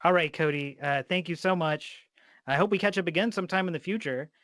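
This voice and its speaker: A man's voice: a little high, brisk, fairly bright tone, no room sound, clean studio sound. A man hosting a podcast in a casual, informal way, with plenty of swearing. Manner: informal, profanity-laced. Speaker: podcast host and interviewer.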